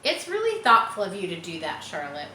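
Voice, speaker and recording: A woman's voice: fairly low pitch, huge pitch swings, brisk, pushed and bright, roomy sound, recorded from a distance, slight background noise, full character voice. A woman voicing an innocent, dramatic young male pig in a storybook, with a pleading, wailing manner. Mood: polite, content.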